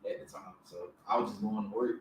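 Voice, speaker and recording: A twenty-one-year-old man tells his stories in a laid-back but insistent way. He is heard from a distance in a strongly echoing room, with clear background noise under his voice. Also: fairly low pitch, fairly even intonation, fast. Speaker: guest. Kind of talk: casual storytelling.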